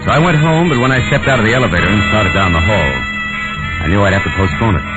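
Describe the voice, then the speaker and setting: An American actor playing a cynical, world-weary private detective in a 1940s radio noir. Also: male voice, on the low side, animated, fast talker, natural voice. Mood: wry, weary. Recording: dull old radio recording with music.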